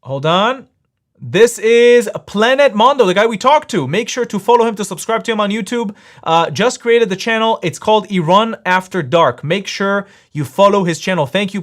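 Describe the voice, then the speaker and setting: A man hosts a live stream, reading viewer messages aloud and arguing his side. His voice sits a little high for a man. He talks emphatically and circles back to hammer the same point again and again. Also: fairly quick. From Israel. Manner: emphatic, insistent, repeats points. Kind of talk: live stream chat and debate.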